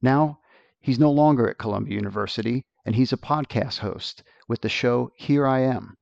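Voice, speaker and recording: A man hosting a podcast, reading a scripted introduction in a measured, polished way. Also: medium pitch, gravelly, a little mellow, dry recording, dull audio. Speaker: podcast host.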